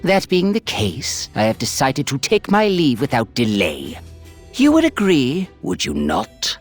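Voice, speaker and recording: A woman's voice: extremely low pitch, huge pitch swings, unhurried, exaggerated voice. A woman playing an imperious, scheming alien tyrant in an anime video game, with haughty, grandiose, formal speech. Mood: smug. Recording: music behind the voice.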